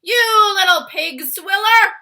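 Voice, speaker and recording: A woman's voice: extremely high pitch, slow, sharp tone, pitched above the performer's own voice, exaggerated voice. A woman voicing a brutish, gluttonous, ill-tempered male giant in a children's storybook, roaring out mangled grammar. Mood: furious roaring. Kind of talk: acted children's storybook read-aloud.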